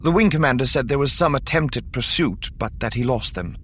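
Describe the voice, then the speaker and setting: A man plays a young junior officer in a space-opera audiobook. The voice is pitched up, hesitant and anxiously deferential to superiors. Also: brisk, a bit bright, a little hiss or hum, close to an everyday voice. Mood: hesitant reporting. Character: nervous young male lieutenant, deferential junior bridge officer.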